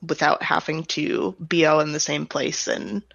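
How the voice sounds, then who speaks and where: A woman speaking as an interviewed director, explaining her creative work in a thoughtful, reflective way with softened statements. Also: low voice, level tone, moderate pace, gravelly, no room sound, muffled recording. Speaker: audio drama director and writer being interviewed.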